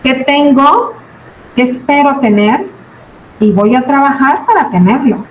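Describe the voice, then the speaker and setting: A woman's voice: high-pitched, expressive, a little slow. A woman in her forties with a Mexican accent, giving an informal lecture to students in a didactic, question-and-answer manner. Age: 40s. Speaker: business budgeting teacher. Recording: roomy echo, background noise.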